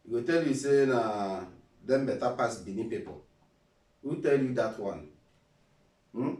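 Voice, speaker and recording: A man's voice: medium pitch, darkish tone, distant mic. A Nigerian man with an Igbo accent giving a casual motivational talk as a vlogger, preachy, repeating phrases and asking rhetorical questions. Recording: roomy and a bit off-mic.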